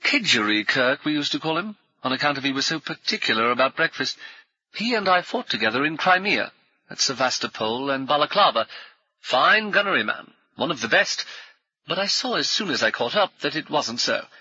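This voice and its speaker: A man's voice: on the high side, very animated, fairly quick, sharp tone, breathy edge, no room sound, voice pushed up, heavily acted. A man voicing a jovial, bossy former cavalry officer who speaks in swift, clipped bursts, recorded dry and a bit dull. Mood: hearty.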